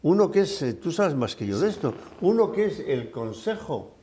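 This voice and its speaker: Older man, lively and mellow. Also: fairly high pitch, moderate pace, slight background noise. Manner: thoughtful, with frequent fillers and hesitations. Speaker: retired professor. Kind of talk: conversational discussion.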